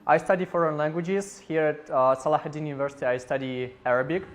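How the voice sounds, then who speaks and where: A man from Russia introduces himself simply and directly. His voice is on the higher side, and he speaks as a language student. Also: fairly even intonation, quick pace. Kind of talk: self-introduction.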